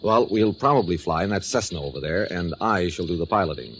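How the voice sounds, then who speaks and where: A man's voice: low-pitched, lively intonation, brisk, a bit bright, slight background noise. An actor playing a middle-aged male insurance adjuster and pilot in a 1940s radio drama, easygoing, persuasive and patient. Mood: genial.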